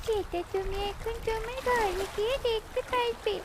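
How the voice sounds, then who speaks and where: A performer voicing a gentle, earnest young water fairy in a children's cartoon. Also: very high-pitched, steady tone, normal speed, heavy background noise. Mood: gentle, reassuring. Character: gentle stranded young water fairy mermaid, grateful and generous. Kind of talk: dubbed children's cartoon dialogue. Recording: loud music and water sounds behind. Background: music, splashing water.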